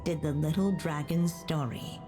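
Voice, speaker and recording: A woman playing a tender, solemn fairy-tale narrator in a video game, measured and gentle. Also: sits low, steady tone, over music.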